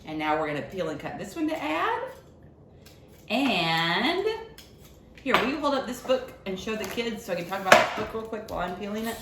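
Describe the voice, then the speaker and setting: An animated woman speaks in an echoey room with noticeable background noise. She tells stories and explains simple steps casually, as a host would to a small child, asking plenty of easy questions. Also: medium pitch, a little fast. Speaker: library staff host of a children's story and recipe video. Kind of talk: casual storytelling and cooking demo with a child. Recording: roomy echo, clear background noise.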